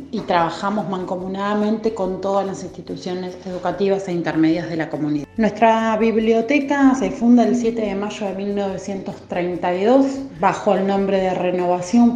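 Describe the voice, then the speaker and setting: A woman, a librarian, speaking in a measured way with frequent hesitations about her library. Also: mid-range voice, darkish tone, roomy sound, highs cut off. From Argentina. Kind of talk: interview testimony.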